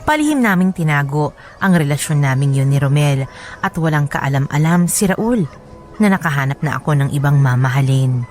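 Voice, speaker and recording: A woman playing a letter sender who confesses a secret affair in measured radio drama narration. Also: sits low, darkish tone.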